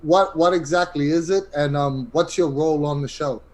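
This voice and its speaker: A man's voice: fairly high pitch, normal speed. A man co-hosting a podcast in casual interview chat. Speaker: podcast co-host.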